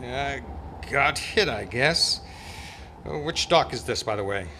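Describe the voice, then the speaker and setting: Man playing a bright-voiced, wry hardboiled private eye. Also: medium pitch, lively intonation, takes it slowly. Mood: groggy. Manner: wry, world-weary, slangy. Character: tough, gallant male private eye, wry and unflappable. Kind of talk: hardboiled radio detective narration and dialogue. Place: club meeting, live performance before microphone. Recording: noisy amateur live stage recording.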